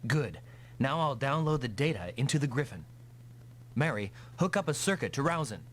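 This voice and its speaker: Brash mech pilot hero, lively pitch swings. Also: male voice, moderate pace. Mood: businesslike. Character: confident, gung-ho young male mech pilot hero. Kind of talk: dubbed anime-style video game acting. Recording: noisy vintage console game audio.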